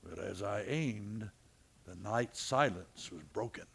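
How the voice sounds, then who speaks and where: A man's voice: fairly low pitch, slow, creaky, breathy edge, exaggerated voice. Man acting a laconic Old West lawman. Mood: narrating. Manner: dry, laconic, folksy frontier phrasing. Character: Matt, shrewd, steady Old West lawman tracker. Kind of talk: western radio drama acting with narration.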